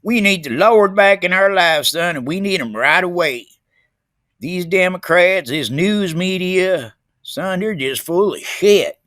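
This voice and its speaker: A man's voice: high-pitched, lively intonation, measured pace, a bit bright, dry recording, clean studio sound. A man delivering a comedic monologue in a folksy, emphatic way, calling the listener son again and again.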